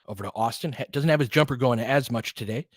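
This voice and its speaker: A man's voice: medium pitch, fast, breathy edge, no echo, clean studio sound. A man giving informal, candid basketball play-by-play and opinionated commentary as the host of a fan stream.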